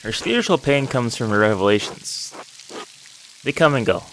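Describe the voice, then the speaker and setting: A man voicing a solemn, devout priest in a narrative game, speaking in a measured, formal, pious way. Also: medium pitch, sing-song, fairly bright tone, a little hiss or hum, low-quality recording. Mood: hushed, solemn. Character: solemn devout priest, gentle confessor, male. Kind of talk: reading aloud narrative game dialogue. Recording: music and rain behind the voice.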